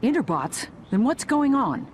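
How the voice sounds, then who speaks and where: A woman playing a brisk, businesslike police squad leader in a video game cutscene, sounding urgent. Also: fairly high pitch, sing-song, a little hiss or hum. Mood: urgent, concerned. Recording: voice under very loud music.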